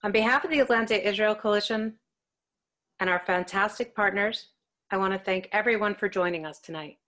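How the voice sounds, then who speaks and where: A woman's voice: mid-range voice, a little fast, bright, forceful tone. A woman hosting a web talk from a room at home, reading polished prepared questions over a video call. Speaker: host. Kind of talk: scripted web talk moderation.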